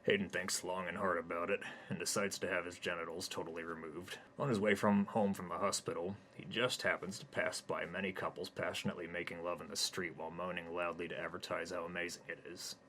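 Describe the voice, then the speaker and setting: A man with a deep yet bright voice narrating his own comic story, flat and matter-of-fact. A faint hiss sits under his reading. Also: brisk, few pauses. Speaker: narrator and author.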